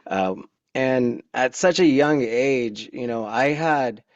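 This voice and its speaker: A middle-aged man from Pakistan telling his personal story candidly and informally, with halting pauses, on a video call. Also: mid-range voice, no room sound. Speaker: guest speaker. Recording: dull, dry video-call audio.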